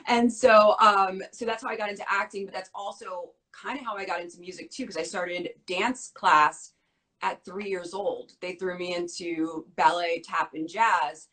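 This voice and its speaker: A young adult woman talking in a casual interview, chatty and quick with lots of filler words, her voice worn from losing it. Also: on the low side, fairly even intonation, bright, forceful tone, poor audio. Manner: fast, bubbly talk with many "like" fillers. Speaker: interview guest.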